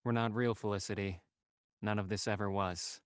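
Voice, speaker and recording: An actor playing a resigned, bitter captive in an audio thriller, with weary defiance. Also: male voice, fairly low pitch, steady tone, normal speed, smooth, no room sound, studio quality, natural voice. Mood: resigned, defiant.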